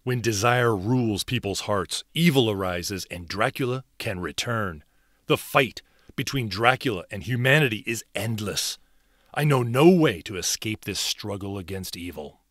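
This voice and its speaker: Male actor as heroic vampire hunter, lowish voice, solemn, compassionate, declamatory game acting, dry close sound. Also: expressive, a bit bright, no room sound. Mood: solemn resolve. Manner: earnest, measured, declamatory. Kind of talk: dramatic video game character acting.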